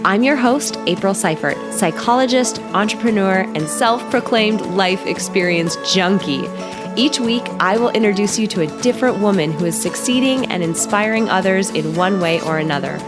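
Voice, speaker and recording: A woman's voice: medium pitch, lively intonation, moderate pace, over music. A woman hosting a podcast interview, enthusiastic and upbeat. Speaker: podcast host.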